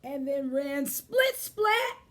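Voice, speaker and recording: Female children's storyteller, high voice, unhurried pace, expressive, speaking directly to little kids. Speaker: children's librarian leading storytime. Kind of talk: children's storytime read-aloud and chat.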